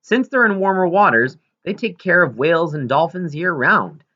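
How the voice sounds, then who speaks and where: A man's voice: fairly high pitch, animated, normal speed, sharp tone, highs cut off, pitched above the performer's own voice. A man reading aloud as a considerate female researcher, friendly and explanatory, in an audiobook. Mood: friendly, apologetic. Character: considerate female whale researcher writing an apologetic letter.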